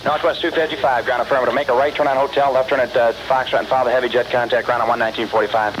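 A man's voice: medium pitch, steady tone, very fast. A man working as an air traffic ground controller, giving clipped, procedural taxi instructions over aviation radio.

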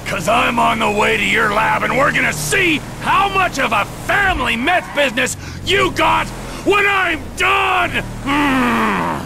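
A man's voice: extremely high pitch, creaky, noisy background, exaggerated voice. An actor playing a volatile, violent middle-aged criminal in a video game cutscene, swearing and switching between pleading and screaming rage. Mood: raging.

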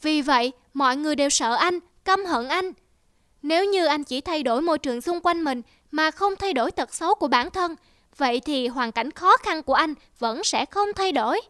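Woman voicing a high, preachy cartoon bird. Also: animated, normal speed, a bit bright, no room sound, studio quality. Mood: admonishing. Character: small, wise, preachy forest bird. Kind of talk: cartoon fable dubbing.

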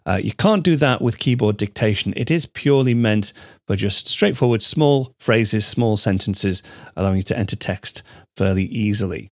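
A man with a fairly low voice walks through a software tutorial in a measured, explanatory manner. His voice is close and dry on a studio microphone, with little sense of the room around it. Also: lively intonation, no echo. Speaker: tutorial host. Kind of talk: screencast software tutorial narration.

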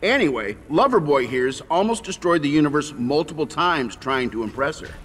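A wisecracking, sarcastic mercenary. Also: male voice, fairly high pitch, animated, a bit bright. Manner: quick, jokey, sarcastic. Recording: game cutscene, reverberant, music.

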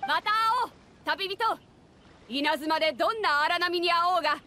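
An actress voicing a bold, hearty, protective woman ship captain in an anime game, with rough, commanding, masculine speech. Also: very high-pitched, fairly even intonation, normal speed, bright, forceful tone. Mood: confident, commanding. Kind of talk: anime video game voice acting.

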